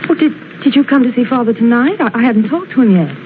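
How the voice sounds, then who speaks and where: A woman's voice: on the high side, expressive, quick pace, lightly acted. An actress playing a sweet, trusting young woman in a 1940s radio drama. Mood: surprised, friendly. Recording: noisy, muffled old radio recording.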